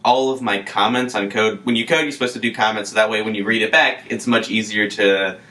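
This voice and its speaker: Young male podcast co-host, bright-voiced, casual, joking banter. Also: lowish voice, steady tone, fairly quick. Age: young adult. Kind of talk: casual comedic chat.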